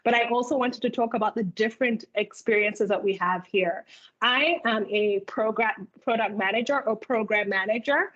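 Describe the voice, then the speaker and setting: Woman in her thirties from Uganda, fairly high voice, structured presenter. Lo-fi call audio. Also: moderate pace, a bit bright. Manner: clear, organized presenter, speaking in lists. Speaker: keynote speaker and product manager. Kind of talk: presentation at a virtual hiring event. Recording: muffled video call audio.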